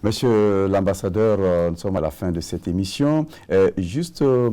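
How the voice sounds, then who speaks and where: A male TV host leads a formal interview in a measured way. His voice is deep and warm.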